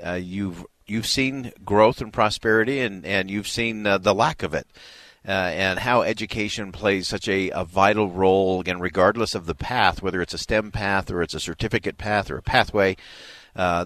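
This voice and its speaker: A man's voice: on the low side, fairly bright tone, no room sound. A man hosting a live radio broadcast, speaking in an earnest, affirming way as he interviews and comments. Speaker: radio host.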